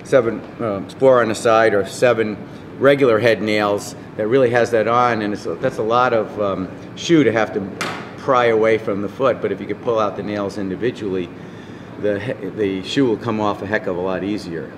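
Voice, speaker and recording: Low-voiced man explaining, reverberant hall. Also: fairly even intonation, moderate pace, noisy background. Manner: explains with many fillers and restarts while handling shoes and nails. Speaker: farrier clinician. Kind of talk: informal product explanation. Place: convention booth with curtains, indoors. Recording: reverberant hall with background ambience.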